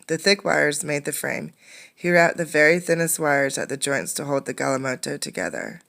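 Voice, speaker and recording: Woman, low register with gravelly edges, for an expressive read-aloud; teacherly, puts questions to young listeners. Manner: expressive narration, pausing to pose simple questions. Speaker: teacher reading a picture book aloud.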